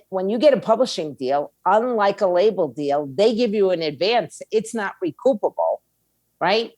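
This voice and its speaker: A woman's voice: medium pitch, a little mellow, dry recording. A middle-aged woman giving candid, plain-spoken advice as an expert guest in a conversational interview. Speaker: guest, music industry attorney.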